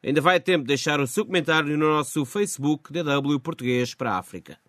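A man's voice: fairly high pitch, fast. A man presenting scripted radio news as host, speaking into a studio microphone.

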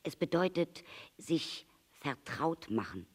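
A storybook reader takes on an earnest fox with a wistful heart. The voice sits mid-range and moves in lively leaps, explaining with emphasis and pleading for friendship. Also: medium pitch, slow pace, husky, exaggerated voice. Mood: explanatory, earnest. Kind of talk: storybook character reading.

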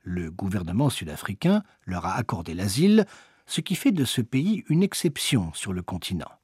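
A man's voice: on the low side, very animated, moderate pace, darkish tone, slightly rough, dry recording, studio quality. A man narrating a reportage from a prepared script. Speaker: documentary narrator.

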